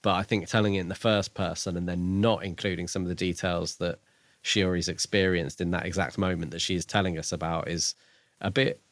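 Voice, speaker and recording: A man's voice: low-pitched, steady tone, a little fast, no room sound. A man co-hosting a podcast, talking through a book in a reflective, informal way. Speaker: podcast co-host. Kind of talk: casual book discussion.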